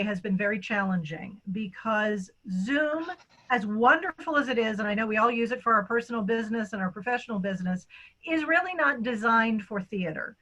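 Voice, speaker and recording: A woman's voice: on the high side, sharp tone, low-quality recording. A middle-aged woman, a theatre director, hosting an online event with introductions and thanks. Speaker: theatre company director and host.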